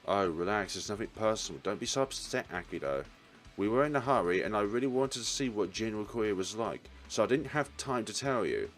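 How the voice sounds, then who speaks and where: A man's voice: on the low side, lively intonation, fairly quick, soft background music. A man reading story text aloud as a let's play host, with casual reactions and groaning asides.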